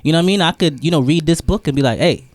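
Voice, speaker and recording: A man's voice: fairly high pitch, racing, dry recording. A man interviewing as a podcast host in an informal, slangy way, often repeating his phrases. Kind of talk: casual interview chat.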